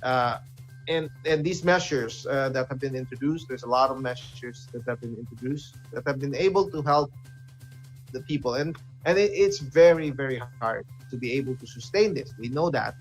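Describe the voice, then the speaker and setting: A man hosting a current affairs discussion in a measured, halting manner, repeating words for emphasis. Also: medium pitch, faint music behind, rough recording. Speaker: talk show host.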